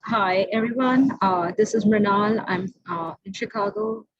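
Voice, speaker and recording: A woman's voice: mid-range voice, unhurried, a crowd in the background. A woman from India, a design student, giving a friendly introduction on a video call. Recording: dull call audio with background noise.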